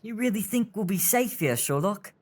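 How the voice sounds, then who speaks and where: A woman's voice: mid-range voice, expressive, moderate pace, background noise, lightly acted. A woman playing an earnest, anxious boy who leads street urchins, emotional, in an audio drama. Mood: curious.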